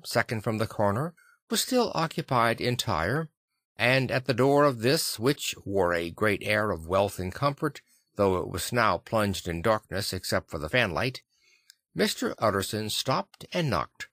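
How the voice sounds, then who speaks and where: A man reading classic fiction as an expressive audiobook narrator. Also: lowish voice, unhurried, no room sound, clean studio sound. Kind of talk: expressive scripted literary narration.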